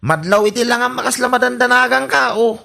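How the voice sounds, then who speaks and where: Woman acting a creaky, exasperated Ilocano husband. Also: on the high side, a little fast, pushed and bright, exaggerated voice. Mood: suspicious, exasperated. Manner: probing, exasperated. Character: suspicious, exasperated husband questioning his spendthrift wife. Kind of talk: Ilocano radio comedy drama acting.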